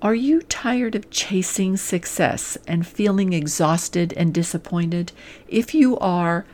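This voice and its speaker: A woman executive coach delivers a scripted promotional pitch straight to the listener, earnest and deliberate, stressing points in short listed phrases. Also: darkish tone. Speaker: executive coach and podcast host.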